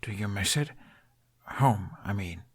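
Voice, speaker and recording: An earnest young storybook prince, acted out by a man, dreamy and hopeful. His words come eagerly, as if he is searching for the next one, and his pitch sits a little above the narrator's low register. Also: expressive, slow, husky, exaggerated voice. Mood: gentle.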